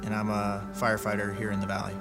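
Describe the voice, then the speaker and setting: A man's voice: lowish voice, completely flat delivery, fast, creaky. A man in his twenties, a firefighter, sharing his faith story in a thoughtful, questioning way. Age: 20s. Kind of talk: testimony interview. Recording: loud music underneath.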